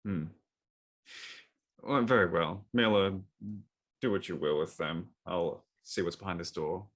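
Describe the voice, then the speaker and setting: Brief: male player, low voice, slow and formal in character. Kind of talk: playing a character in a tabletop game.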